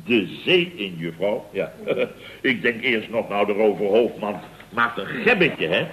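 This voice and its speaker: An actor playing a blustering, self-important building contractor boss in a vintage radio comedy, with a pompous, rambling way of telling things. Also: male voice, fairly low pitch, sing-song, a little slow, a bit bright, slight background noise. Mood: indignant, boastful. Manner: pompous, rambling, chuckling raconteur with exclamations. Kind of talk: 1960s-70s Dutch radio comedy acting. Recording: dull vintage radio recording.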